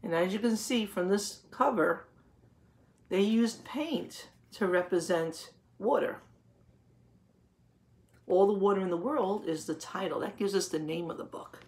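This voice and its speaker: A woman teacher reading a picture book aloud to young children, explaining as she goes and pausing to ask questions and confirm answers. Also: medium pitch, darkish tone, a little hiss or hum.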